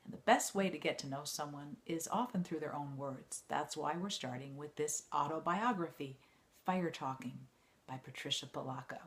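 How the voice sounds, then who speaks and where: A woman reading an autobiography aloud like a teacher to students, in a measured, expressive storytelling way. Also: low voice.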